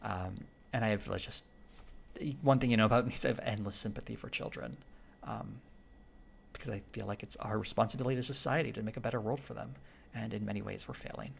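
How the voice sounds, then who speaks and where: A man with a raspy voice, chatting casually with viewers on a live stream, rambling with lots of fillers and restarts. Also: lowish voice, steady tone, fast, a little hiss or hum. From Canada.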